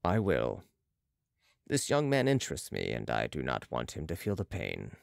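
A man voicing a serene, commanding mesmerist with calm mystical authority in an audiobook. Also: extremely low pitch, normal speed, creaky, no room sound, clean studio sound, pitched below the performer's own voice, exaggerated voice. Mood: calm resolve. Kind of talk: audiobook character voice.

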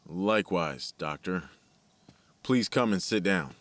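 A man's voice: low-pitched, expressive, slow pace, a bit bright, exaggerated voice. An actor playing a worried, weary father in an audio drama, speaking in a blunt, plain-spoken way.

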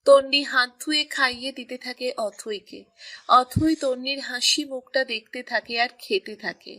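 High, bright female storyteller. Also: measured pace. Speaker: narrator. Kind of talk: scripted romantic story narration.

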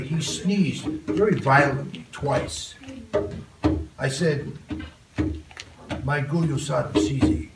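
An older man, a guest poet, reading a poem and chatting softly with students in a school classroom. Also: fairly high pitch. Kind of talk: poetry reading and chat. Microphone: far phone camera. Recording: roomy, distant, noisy phone recording.

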